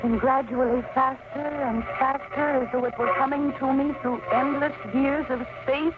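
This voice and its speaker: A woman's voice: high-pitched, fairly even intonation, moderate pace, close to an everyday voice. An actress playing a determined young woman in an old-time radio horror drama, with an intense, earnest, dramatic delivery. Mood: hushed dread. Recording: tinny, very noisy old radio recording.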